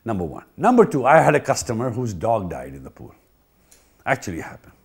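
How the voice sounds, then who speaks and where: A man's voice: medium pitch, sing-song, a little fast, vocal fry, breathy edge. A man with an Indian accent giving an informal training talk, emphatic and repetitive, often checking in with rhetorical questions. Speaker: pool company trainer.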